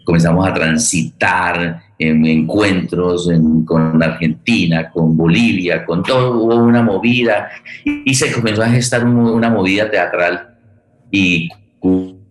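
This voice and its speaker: A Colombian man with a very low voice hosts a discussion in a conversational way. He often repeats himself while searching for words. The sound has a strong room echo. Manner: halting, searching for words with repetitions. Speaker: forum host. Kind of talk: conversational political and cultural discussion.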